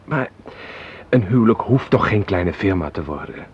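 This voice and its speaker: A man's voice: fairly low pitch, expressive, moderate pace, slightly rough. An actor playing an earnest, lovesick young man in a Dutch radio play, pleading and protesting. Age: young adult. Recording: dull old radio recording.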